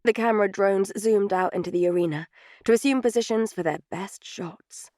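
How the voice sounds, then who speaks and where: A woman's voice: medium pitch, normal speed, a little mellow, no echo, studio quality. A woman reading scripted third-person fiction as an audiobook narrator.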